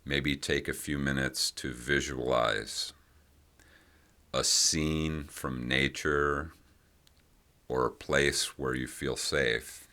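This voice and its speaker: Very deep-voiced man guiding meditation slowly. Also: steady tone. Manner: gentle, unhurried phrasing with long pauses. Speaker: meditation guide. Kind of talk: guided meditation, unscripted instruction.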